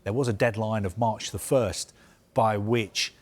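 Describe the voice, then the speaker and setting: Low-voiced man explaining football news. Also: fairly even intonation. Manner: measured, explanatory, with frequent "uh" and "um". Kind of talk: live news discussion.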